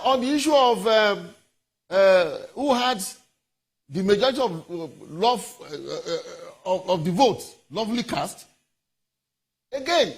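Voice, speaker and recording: A Nigerian man with a high, rough-edged voice speaks as a legal analyst in an interview. He is deliberate and emphatic, often stopping and repeating words as he lays out his points. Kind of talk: formal legal commentary in a TV interview.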